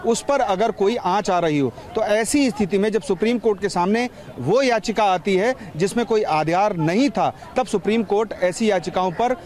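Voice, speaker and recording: A man in his forties, a field reporter speaking into a handheld mic outdoors, explaining a court ruling in a measured way. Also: high-pitched, darkish tone. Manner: measured explanatory, glossing terms. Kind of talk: live reporting from outside a court. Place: outdoors by a street. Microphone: handheld reporter microphone.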